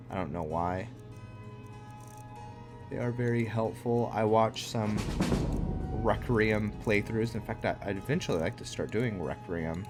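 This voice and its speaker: Low-pitched man, unhurried gaming commentary. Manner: hesitant, thinking aloud. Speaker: gaming video host. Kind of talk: casual gameplay commentary. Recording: background music under close commentary.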